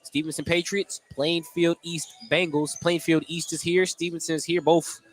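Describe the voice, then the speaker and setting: A man's voice: a little high, level tone, normal speed. A man calling live basketball play-by-play as a sports announcer from a gym. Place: indoor school basketball gym. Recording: noisy gym background.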